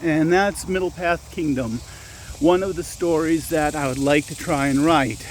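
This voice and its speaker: A man's voice: a little high, unhurried, slight background noise, rough recording. Rambling man vlogging outdoors on a walk. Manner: rambling, with frequent restarts and self-corrections. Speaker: channel host and storyteller. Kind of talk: casual walking vlog talk. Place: outdoors on a walking path at dusk.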